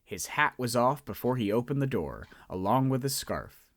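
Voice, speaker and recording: A man narrating a radio drama in an expressive storytelling manner. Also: medium pitch, moderate pace, noisy background.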